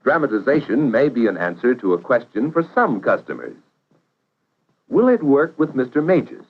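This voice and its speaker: A man narrating a 1950s educational film in a measured, instructive way, posing questions to the viewer. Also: medium pitch, wildly swooping pitch. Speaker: narrator of vocational training film. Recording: dull vintage 1950s film soundtrack.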